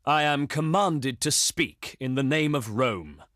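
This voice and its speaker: A man voicing a pompous, self-important Roman senate envoy in a video game, with oratorical, deliberate delivery. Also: mid-range voice, lively intonation, fairly bright tone, no echo, studio quality. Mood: imperious. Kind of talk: scripted video game diplomacy voice acting.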